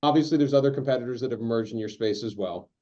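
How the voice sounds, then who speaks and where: A man with a dark, mellow voice hosts a business interview, with the sound slightly dull. He asks questions haltingly, with repeated fillers. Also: mid-range voice. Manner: hesitant questions with you know fillers. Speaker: interview host.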